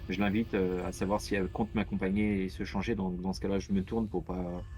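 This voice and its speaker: A man playing a tabletop game, hesitantly and softly describing what his character does. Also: sits low, flat delivery, fairly quick, slight background noise. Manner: hesitant, soft-spoken. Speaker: player.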